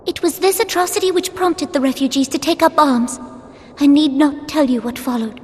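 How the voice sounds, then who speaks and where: A woman's voice: sits high, moderate pace, natural voice. An actress playing a solemn, indignant little noblewoman leader in a fantasy game, with earnest, formal, archaic speech. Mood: solemn indignation. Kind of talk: scripted fantasy game drama.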